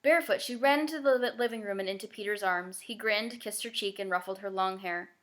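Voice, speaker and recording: A woman narrating a children's novel as a scripted, expressive read-aloud. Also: mid-range voice, a little fast.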